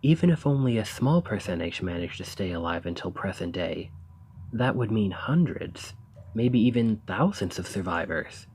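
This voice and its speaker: A man playing an earnest, eager researcher of ancient civilizations in an ASMR roleplay, speaking in formal, gently sympathetic phrasing. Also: on the low side, lively intonation, moderate pace, breathy edge. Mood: hopeful, encouraging. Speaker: audio roleplay performer. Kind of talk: ASMR roleplay, scripted character acting.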